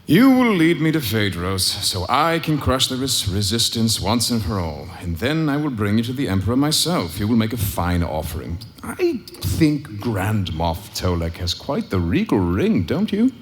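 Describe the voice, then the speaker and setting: A man playing an arrogant, menacing imperial officer in a live space-opera audio drama, pompous and deliberate in delivery. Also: on the low side, very animated, slight background noise. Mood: menacing, grandiose. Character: gloating, arrogant male imperial officer villain. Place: live convention stage.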